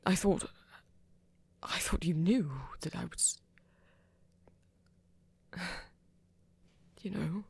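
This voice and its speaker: A performer playing a flirty, earnest vampire in an ASMR romance roleplay, speaking haltingly and intimately in a husky, often half-whispered voice. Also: mid-range voice, a little mellow, dry recording, full character voice. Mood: flustered, tender. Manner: hesitant, halting, breathy and intimate. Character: flirty, earnest vampire confessing love, soft-spoken.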